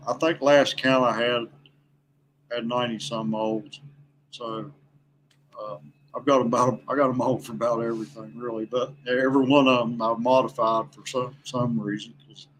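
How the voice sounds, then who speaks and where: A man's voice: medium pitch, poor audio. Folksy man chatting over background noise. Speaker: podcast guest, veteran angler. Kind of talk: casual chat.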